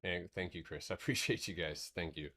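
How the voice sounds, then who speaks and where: A man hosting a casual livestream market recap. He talks in a loose, rambling way, swears casually, and slows into a steady explanatory tone when walking through charts. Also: deep voice. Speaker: stock trading streamer.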